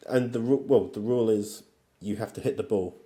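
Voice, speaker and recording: A man with a croaky voice from a cold, a guest giving direct, firm opinions in a casual podcast chat. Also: fairly low pitch, a little fast, dark tone.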